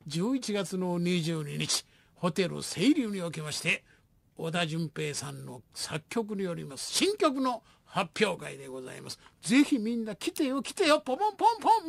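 A performer playing an excitable comic enka singer in radio patter, clownish, gravelly, chanting nonsense sounds. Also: mid-range voice, moderate pace, no room sound. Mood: playful.

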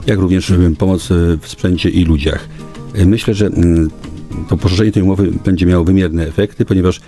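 A middle-aged man giving formal, halting answers as a local official guest in a small radio studio, with many hesitations. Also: low-pitched, dark tone. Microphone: studio boom microphone.

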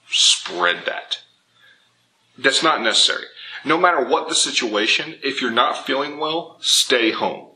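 Very bright, forceful man, emphatic instructor. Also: medium pitch, a little hiss or hum, low-quality recording. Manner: animated, emphatic, acts out imagined objections. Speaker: martial arts instructor, video host. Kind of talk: informal instructional talk to camera. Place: indoor dojo with bare walls and wood floor.

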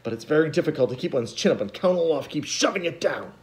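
A man's voice: fairly high pitch, lively intonation, quick pace, voice pushed up, lightly acted. A man voicing an earnest, anxious bookish boy in a storybook reading. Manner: earnest, rising to upset outbursts.

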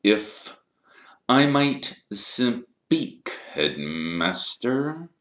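Man doing a slow, sneering, suspicious schoolmaster. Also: medium pitch, very animated, fairly bright tone, roomy sound, pitched above the performer's own voice, exaggerated voice. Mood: silky, suspicious. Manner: slow, drawn-out, insinuating. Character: cold, sneering male schoolteacher, slyly suspicious.

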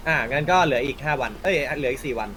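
A man's voice: medium pitch, rapid-fire, fairly bright tone, a little hiss or hum. A man in game roleplay chat, explaining things in a matter-of-fact, casual way.

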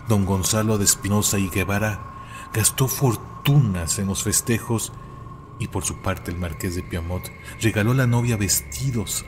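A man narrating scripted horror legends in a solemn, deliberate way with dramatic emphasis. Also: on the low side. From Mexico.

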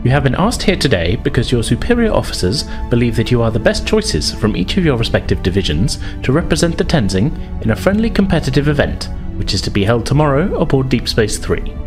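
A man playing a formal, authoritative starship officer who briefs ensigns in measured wording in a tabletop game. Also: medium pitch, very animated, over music, pitched above the performer's own voice, lightly acted. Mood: formal, composed.

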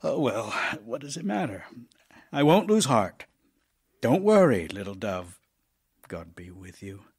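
A man's voice: mid-range voice, sing-song, a little slow, pauses often, a little mellow, breathy edge, heavily acted. An actor playing an ailing middle-aged playwright husband in an audio drama, tender, intimate and wistful as he voices love letters. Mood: relieved, fond.

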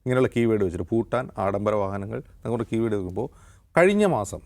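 A man's voice: on the low side, animated, quick pace, darkish tone. A man with a Kerala accent speaking as a news panelist, explaining current affairs in detail. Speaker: news anchor and panelist on a news discussion show.

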